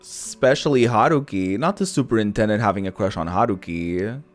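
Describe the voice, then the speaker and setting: A man's voice: on the low side, animated, fairly quick, soft background music. A man giving casual, chatty let's-play commentary in a playful, campy manner, reading bits of dialogue aloud. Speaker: video game streamer.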